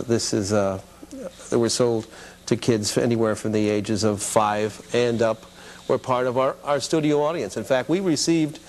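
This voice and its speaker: A mature American man reminiscing casually as an interview guest in a television studio. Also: medium pitch. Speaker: guest, former children's TV producer and puppeteer.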